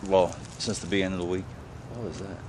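Deep low man, thirties, casual rambling vlog talk, handheld camera outdoors, clear background noise. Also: fairly even intonation, fast talker. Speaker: outdoor vlogger. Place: outdoors in woods and fields. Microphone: handheld camera at arm's length.

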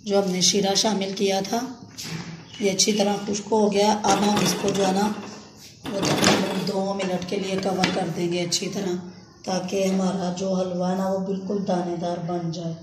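A woman hosting a home cooking demonstration, chatty and full of fillers, recorded on a phone over the stove in a home kitchen. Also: mid-range voice. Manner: chatty, repeats fillers often. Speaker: cooking channel host. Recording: echoey kitchen with background noise.

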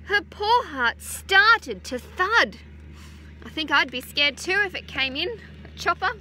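An Australian woman in her thirties, a teacher gently reading a picture book aloud to children outdoors on a farm, adding chatty asides. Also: very high voice, expressive, measured pace, a little hiss or hum, poor audio. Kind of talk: children's story time read-aloud. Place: outdoors on a farm beside a utility vehicle. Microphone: phone camera held close.

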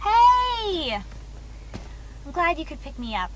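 A woman's voice: extremely high pitch, very animated, takes it slowly, full character voice, low-quality recording. A young woman playing a sweet, wistful, lovestruck date in a comedic game cutscene. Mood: tender, wistful. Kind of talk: live-action game cutscene acting.

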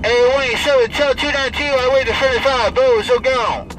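A man's voice: very high-pitched, normal speed, poor audio. A man chatting on CB radio, repeating his calls in slangy radio talk. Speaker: CB radio operator. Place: inside a vehicle. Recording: loud vehicle and radio noise.

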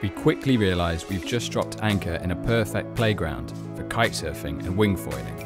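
Deep-voiced man narrating a sailing vlog. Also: expressive, moderate pace, over loud music. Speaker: sailing vlogger. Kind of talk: voice-over narration and casual chat on a boat.

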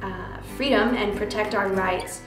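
A young woman in her twenties from the United States, speaking quickly and with a smile. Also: mid-range voice. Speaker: interviewee. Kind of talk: reflective testimony. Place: indoor studio with dark backdrop. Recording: roomy with guitar music. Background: clear guitar music.

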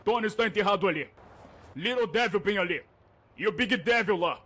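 A man's voice: high voice, a little fast, sharp tone. A man voicing an angry, bossy older brother who leads a street gang in a fan-dubbed game, confrontational and slangy. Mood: grim. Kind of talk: amateur game fan dub.

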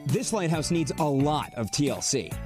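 A man's voice: a little high, big pitch swings, normal speed, over loud music. A young adult man working as a news correspondent, giving scripted narration and reporting on location in an upbeat way.

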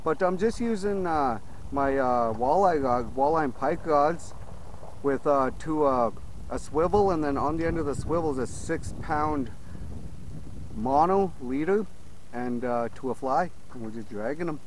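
Male fishing vlogger, slightly higher voice, chatty and excitable, recorded outdoors on a lake on a small camera. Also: a little slow, slight background noise, low-quality recording. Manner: excitable, talks to viewers as "you guys". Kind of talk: casual on-water fishing commentary.

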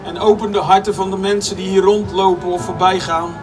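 A man's voice: high voice, level tone, a little fast. A man interpreting a street sermon line by line, restating each phrase with occasional restarts. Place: outdoor square by a stage. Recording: distant PA voice, music behind.

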